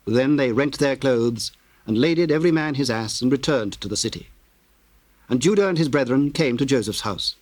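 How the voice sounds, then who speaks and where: A man narrating scripture in a formal, measured storytelling manner. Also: medium pitch, no room sound. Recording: noisy old cassette tape.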